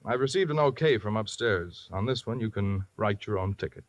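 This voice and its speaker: A man's voice: lowish voice, animated, a little fast, no room sound, close to an everyday voice. An American man playing a harried, earnest insurance company man in a 1950s radio drama, sounding weary and pleading.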